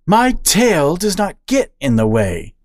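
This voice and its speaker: A woman voicing a steady, matter-of-fact female otter who runs a grocery store. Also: fairly low pitch, slow pace, no room sound, clean studio sound, voice pushed up, full character voice. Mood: dry. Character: dry, steady female otter shop owner.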